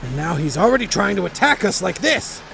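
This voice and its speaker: A man's voice: sits high, sing-song, a little fast, vocal fry, over music, full character voice. A man playing a cocky, brash horse racer and mentor in a dramatic anime fandub, with a theatrical, emphatic delivery. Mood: grim, suspicious.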